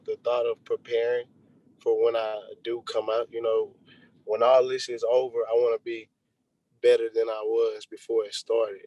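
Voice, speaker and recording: A man's voice: mid-range voice, a little mellow, poor audio. A man chatting casually and reflectively as an interview guest, in a laid-back way. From Texas, United States. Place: remote video call.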